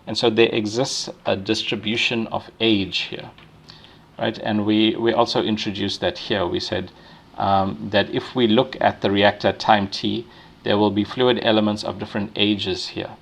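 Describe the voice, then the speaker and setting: A man's voice: lowish voice, level tone, normal speed, vocal fry. A man giving a university lecture, explaining step by step with many restarts and checking in with "right?". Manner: frequent fillers and restarts while thinking aloud.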